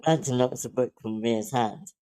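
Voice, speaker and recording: A man's voice: mid-range voice, steady tone, normal speed, dark tone. A man reading stage directions as scripted narration at a table read.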